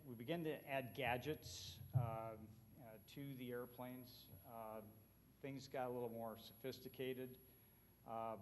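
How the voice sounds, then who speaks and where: A man with an American accent giving an informal conference presentation, speaking conversationally with frequent filled pauses. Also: mid-range voice, a little hiss or hum. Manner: conversational, colloquial.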